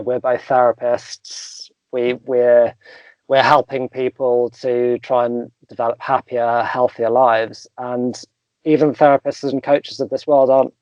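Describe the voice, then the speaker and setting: A young man answers interview questions in a reflective, halting way, often restarting his sentences. His voice sits at a middle pitch. The sound is dull and dry, with no room around it. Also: no echo. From England. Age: young adult. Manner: thoughtful, hesitant, many restarts and fillers. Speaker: therapeutic coach, guest. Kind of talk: casual interview chat.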